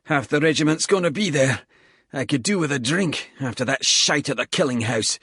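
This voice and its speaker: Male narrator doing a blunt, sweary soldier, winded, husky, louder than the narration. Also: mid-range voice, lively intonation, normal speed, dry recording, clean studio sound, pitched above the performer's own voice. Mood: mocking banter. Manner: blunt, sweary, breathless between words. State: out of breath.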